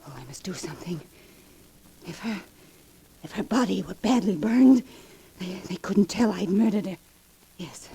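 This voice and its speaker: An actress playing a desperate, scheming jealous killer in 1940s radio melodrama, stammering and whispering. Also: female voice, medium pitch, expressive, moderate pace, vocal fry, long pauses, mellow, breathy edge, full character voice. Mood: panicked. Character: desperate, scheming jealous woman who has just killed. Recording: noisy old 1940s radio recording.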